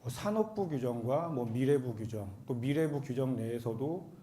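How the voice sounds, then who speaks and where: A man with a cold giving a formal explanatory seminar presentation as an accountant, speaking politely and a bit haltingly. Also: medium pitch, expressive, mellow. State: has a cold.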